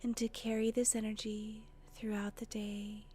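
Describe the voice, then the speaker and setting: A woman leading a guided meditation in a gentle, breathy voice with long, unhurried pauses. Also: mid-range voice, level tone, slight background noise. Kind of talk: guided meditation, soothing narration.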